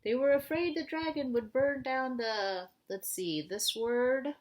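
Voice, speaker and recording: Woman, children's storytime reader. Slightly high voice, animated, engages young listeners with questions. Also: a little slow, poor audio. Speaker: librarian reading to children. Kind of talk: picture-book read-aloud to young children.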